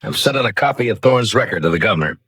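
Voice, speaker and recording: Wanted: steely western marshal, dry and sardonic, deep slightly husky voice, old-time radio drama. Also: male voice, lively intonation, fast talker, fairly bright tone, dry recording. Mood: dry, firm. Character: tough, unflinching frontier marshal of the Old West. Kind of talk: 1950s radio western acting.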